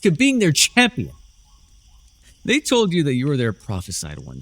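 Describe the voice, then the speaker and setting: A man playing a proud, menacing, persuasive warlord villain in a fantasy audio roleplay, speaking theatrically and sardonically straight to the listener, moving between soft coaxing and forceful declamation. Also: mid-range voice, heavily acted. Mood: grandiose, incredulous.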